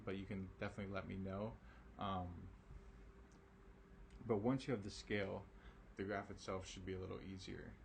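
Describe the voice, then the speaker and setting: Raspy low-voiced male math teacher. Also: a little hiss or hum. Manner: casual, reassuring, many ums and okays. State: raspy, tired voice late in the day. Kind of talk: recorded math lesson.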